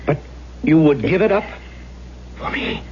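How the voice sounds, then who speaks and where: An actor playing the intense, pleading ghost of a man in a theatrical, halting 1970s radio drama style. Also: on the high side, expressive. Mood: wondering. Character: intense pleading ghost of a man who took his life. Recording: dull, noisy old radio recording.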